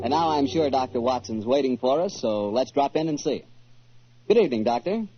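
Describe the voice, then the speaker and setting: An American man announcing in 1940s radio style, a polished, folksy pitchman with a husky voice. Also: lively intonation, a little fast, over music. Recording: muffled old radio recording.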